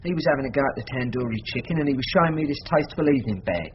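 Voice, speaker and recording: Radio drama: cocksure working-class London lad, male actor, rapid animated chatter, slightly muffled recording. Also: medium pitch, slight background noise, lightly acted. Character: cocky working-class London minicab driver, protesting innocence.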